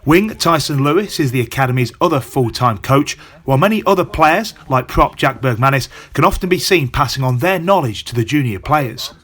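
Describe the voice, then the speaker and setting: Man, sports show narrator, scripted voice-over, polished, medium pitch. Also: animated, fairly quick, hardly any pauses, a bit bright, husky.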